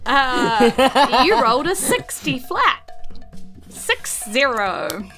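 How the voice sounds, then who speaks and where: Female game master, New Zealand accent, bright forceful voice, casual narration over background music. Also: sits high, big pitch swings, extremely slow.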